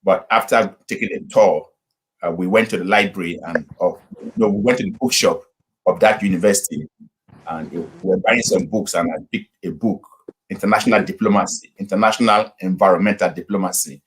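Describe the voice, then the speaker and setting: A middle-aged man from Nigeria speaks in a very low, husky voice. He tells his story at an easy pace, full of fillers and restarts. The call audio carries the light compression of a video chat. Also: fairly even intonation, low-quality recording. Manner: unhurried, with frequent fillers and restarts. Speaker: pastor and guest. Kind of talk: casual interview storytelling.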